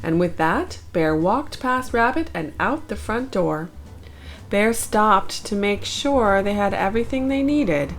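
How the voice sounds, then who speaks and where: Animated, unhurried woman reading a storybook. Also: medium pitch. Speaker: storybook narrator, channel host. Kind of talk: expressive children's read-aloud narration.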